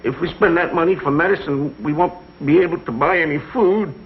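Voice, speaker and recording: An actor playing a hard-luck, slyly hinting telegram messenger with a hesitant, plaintive manner in a vintage broadcast comedy. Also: male voice, on the high side, fairly quick, lightly acted. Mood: woeful. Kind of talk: 1960s broadcast comedy acting. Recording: dull vintage broadcast, loud audience, heavy noise.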